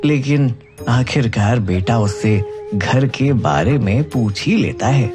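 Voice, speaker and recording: A man's voice: mid-range voice, expressive, slow pace, over music. A man narrating a scripted children's moral story.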